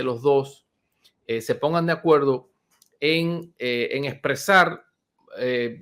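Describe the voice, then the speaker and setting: A man in his fifties, a doctor, giving an informal health talk to viewers, unhurried and conversational with many pauses and restarts. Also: on the high side, a bit bright. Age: 50s.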